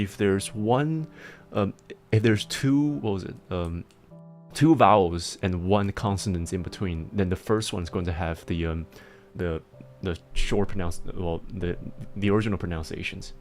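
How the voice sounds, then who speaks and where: A man livestreaming and chatting casually, explaining things in halting bursts with frequent restarts and laughter, speaking with a mixed accent. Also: low voice, a little mellow. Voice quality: self-described mixed accent. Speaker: livestreamer answering chat.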